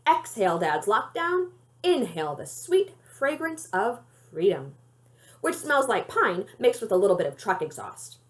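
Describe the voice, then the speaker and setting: A woman reading a children's novel aloud in an expressive storytelling style. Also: mid-range voice, measured pace. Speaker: library read-aloud reader.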